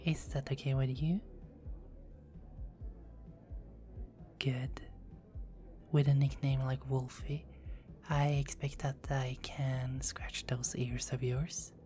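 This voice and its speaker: A woman playing a low, whispering teasing vampire. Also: little pitch movement, slow, soft background music. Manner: soft-spoken, often whispering, playful teasing. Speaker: performer of own script. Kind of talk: ASMR roleplay.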